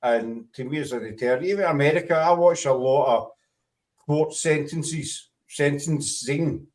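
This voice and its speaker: A man's voice: a little high, a little slow, a little mellow, recorded from a distance. A Scottish man hosting a casual panel chat, rambling and correcting himself as he talks. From Scotland. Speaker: host.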